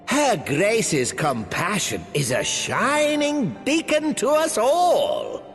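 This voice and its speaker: An actor playing a sly, pompous wealthy lord in a fantasy video game, with drawn-out, theatrical oratory and archaic phrasing. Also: male voice, on the high side, heavily acted. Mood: smug, oratorical. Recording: long hall reverb, faint music behind.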